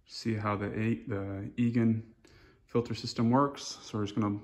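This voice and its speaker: A man casually showing a chainsaw filter in his garage workshop, talking in a relaxed, rambling way with lots of fillers, recorded on a phone held close. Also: lowish voice, normal speed. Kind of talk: casual hands-on show-and-tell. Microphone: phone camera held close.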